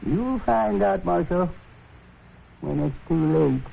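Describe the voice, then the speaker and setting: A proud old American plainsman in a 1950s radio western. His voice is gravelly and fairly high, bitter and defiant in a folksy way. It comes through a thin, noisy old broadcast. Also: animated, takes it slowly, phone-quality sound, exaggerated voice. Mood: defiant threat. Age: older.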